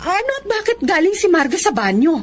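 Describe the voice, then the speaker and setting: A woman's voice: extremely high pitch, big pitch swings, a little fast, noisy background. A woman acting a dutiful, patient wife in a Filipino drama, polite and earnest, also narrating her own story. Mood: suspicious, probing. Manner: polite, respectful. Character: dutiful overseas worker wife, patient, growing suspicious. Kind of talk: Filipino radio-style drama acting with first-person narration.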